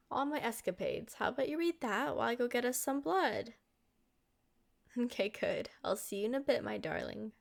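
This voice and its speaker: A woman's voice: on the high side, animated, normal speed, creaky. A woman voicing a centuries-old, doting and possessive vampire girlfriend in an intimate, coaxing ASMR roleplay. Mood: tender, pleased. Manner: coaxing, affectionate, speaking directly to a lover.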